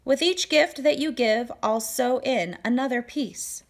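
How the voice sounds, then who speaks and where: A woman voicing a calm, firm and generous quiltmaker in a storybook, speaking in a measured, assured way. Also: high-pitched, pitched above the performer's own voice. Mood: matter-of-fact.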